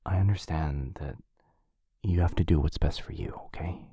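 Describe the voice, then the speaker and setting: A gentle, devoted vampire lover, acted by a man for romantic ASMR roleplay. His voice is deep and very breathy, often slipping into a whisper. He speaks earnestly and haltingly, close and dry. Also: dry recording. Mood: tender confession. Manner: soft, halting, earnest delivery.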